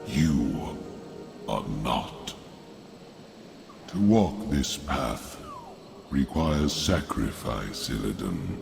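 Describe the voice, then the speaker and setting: A low, gravelly stern old nature demigod from a fantasy game. His measured lecturing swings widely in pitch, under loud music. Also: very animated, exaggerated voice. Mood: admonishing. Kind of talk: dramatic game cinematic acting.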